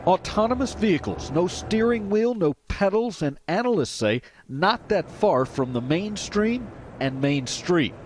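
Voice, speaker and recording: A man's voice: sits high, animated, unhurried, darkish tone, a little hiss or hum. An American man narrating a scripted TV news package as a correspondent. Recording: narration over prominent music.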